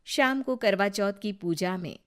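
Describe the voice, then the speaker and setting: A woman's voice: mid-range voice, measured pace, smooth. A woman narrating a scripted moral story as the storyteller.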